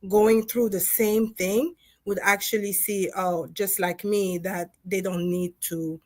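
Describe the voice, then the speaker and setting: A woman from Congo who grew up speaking French tells her story in a relaxed livestream chat. She thinks aloud, pausing on fillers. Her voice sits at a middle pitch, and the sound is dry and close. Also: no echo. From Democratic Republic of Congo. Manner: reflective, storytelling with frequent fillers and laughs. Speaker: dentist and co-author guest. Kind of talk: casual livestream conversation.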